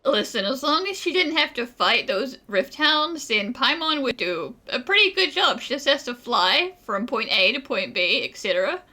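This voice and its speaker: A woman's voice: sits high, very animated, normal speed, hardly any pauses, pushed and bright, exaggerated voice. A woman playing a playful young companion in a video game, talking in a wry, teasing way. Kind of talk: video game character acting.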